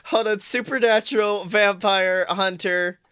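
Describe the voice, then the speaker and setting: A man with a bright, slightly high voice chats on his podcast, animated and quick to swear and laugh. The sound is dry and close, from a studio mic. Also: smooth, no echo. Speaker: podcast co-host. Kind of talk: casual chat.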